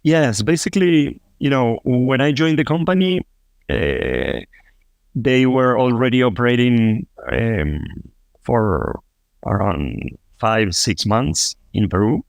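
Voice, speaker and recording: A man from Argentina, a fintech co-founder, answering interview questions in a halting way with many restarts and fillers. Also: medium pitch, lively intonation, creaky, dry recording. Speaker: podcast guest, fintech co-founder.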